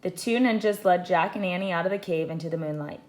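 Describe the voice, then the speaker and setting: A woman reading a children's book aloud like a teacher, in expressive scripted storybook narration. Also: lowish voice, quick pace.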